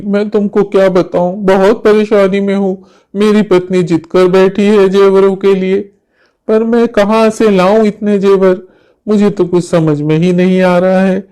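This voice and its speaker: A woman voicing an anxious, kindly male jackal husband in a children's animated moral story. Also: medium pitch, smooth, voice pushed down, close to an everyday voice. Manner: fretful, conciliatory.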